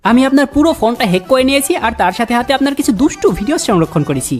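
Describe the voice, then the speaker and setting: Young bangladeshi guy doing prank calls, talks quick, teasing fake-serious tone, bit of music under it. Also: very high voice, lively intonation. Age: young adult. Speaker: prank-calling YouTuber. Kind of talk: comedic prank phone calls. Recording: music behind the voice.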